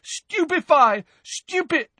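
A man voicing a desperate, defiant teenage boy who shouts frantically. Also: extremely high pitch, lively intonation, takes it slowly, no echo, pitched above the performer's own voice, exaggerated voice. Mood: frantic defiance.

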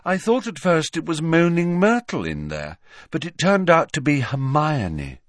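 Guy doing airy teen girl for an audiobook, high, vague n wondering, kinda matter-of-fact. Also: wildly swooping pitch, unhurried, dry recording, clean studio sound. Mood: dreamy, candid. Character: dreamy, airy teenage schoolgirl, candid and kind. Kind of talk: audiobook character voice.

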